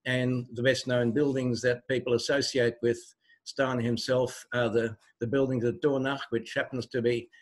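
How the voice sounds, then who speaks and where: A man's voice: mid-range voice, level tone, moderate pace. An older man from Switzerland asking a long, considered question in an erudite, formal and discursive way. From Basel, Switzerland. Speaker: audience member asking a question.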